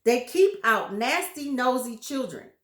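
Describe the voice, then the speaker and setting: A woman's voice: fairly high pitch, animated, unhurried, echoey room, voice pushed up. Missus Twit, put on by a woman, screeching and furious. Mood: spiteful. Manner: shrieking threats. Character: nasty furious old woman.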